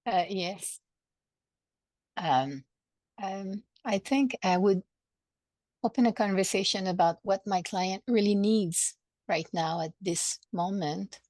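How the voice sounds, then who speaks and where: A woman in a coaching discussion speaking hesitantly and in a measured way. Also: mid-range voice, darkish tone, dry recording.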